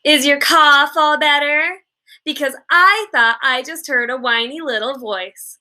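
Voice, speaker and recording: A haughty fifth-grade chatterbox girl, played by a woman reading a storybook. She is high and bright, gushing along in run-on, gossipy chatter. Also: measured pace, heavily acted. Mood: indignant, sarcastic.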